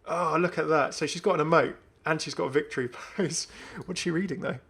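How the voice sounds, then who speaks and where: A man's voice: fairly high pitch, brisk, a bit bright, breathy edge. A man hosting a gaming video, commenting casually and enthusiastically as he thinks aloud. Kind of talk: casual game update commentary.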